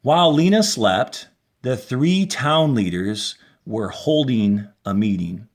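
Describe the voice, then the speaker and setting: A man, a fourth grade teacher, reading a story aloud to a class in a deliberate, instructional manner. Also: medium pitch, animated. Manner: deliberate, instructional, clearly announcing paragraphs and quotes. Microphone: handheld camera held while reading.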